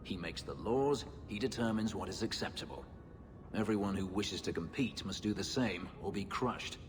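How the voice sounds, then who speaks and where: A man voicing a brooding, bitter warrior in a video game, speaking in a measured, grave, dryly sardonic way. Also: lowish voice, animated, in a large hall, noisy background, close to an everyday voice. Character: brooding, bitter male warrior from a slave-keeping empire.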